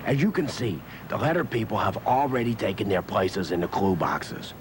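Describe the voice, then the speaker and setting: A man's voice: lowish voice, very animated, moderate pace, noisy background. A man playing a zany, theatrical joker who announces like a game-show host on a children's educational puppet show. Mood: playful.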